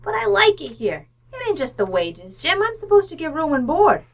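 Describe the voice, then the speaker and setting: A woman's voice: high-pitched, big pitch swings, moderate pace. A woman playing a breezy, blunt, slangy housekeeper who loves betting, in an old-time radio comedy. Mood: stubbornly cheerful. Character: brash, uninhibited, horse-betting housekeeper, woman.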